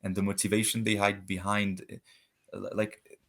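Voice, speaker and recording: A man from Switzerland talking as a guest in a casual debate conversation, halting and searching for words with many restarts. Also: fairly low pitch, level tone, fairly bright tone.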